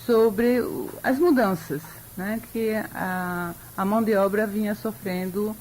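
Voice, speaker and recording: An older woman answers questions on a radio program, measured and reflective, often pausing in mid-thought. Her voice sits at an ordinary pitch, and a faint hiss runs under the recording. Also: fairly even intonation, darkish tone, poor audio. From Europe. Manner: measured, thoughtful, with frequent hesitations. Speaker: historian, interview guest. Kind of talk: radio interview.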